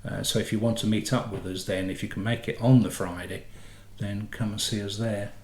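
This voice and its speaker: A man's voice: fairly low pitch, fairly even intonation, fairly quick, a little mellow. A middle-aged man with a head cold and a slightly nasal voice, chatting casually and correcting himself as he hosts a motorhome channel. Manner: chatty, rambling, self-correcting. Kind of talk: casual chat. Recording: echoey room.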